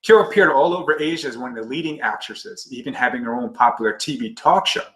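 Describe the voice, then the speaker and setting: A male podcast host introduces his guest in a formal, earnest reading voice and then moves into interview questions. His pitch is middling. The room adds a clear, noticeable echo. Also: fast, a bit bright. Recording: roomy echo.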